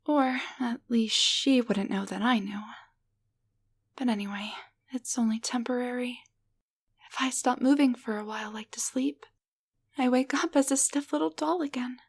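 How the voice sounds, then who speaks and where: A woman voicing a timid, grateful tiny enchanted doll in an intimate roleplay audio drama, speaking softly, gently and haltingly with a breathy voice. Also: fairly high pitch. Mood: gentle, earnest. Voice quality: soft, breathy.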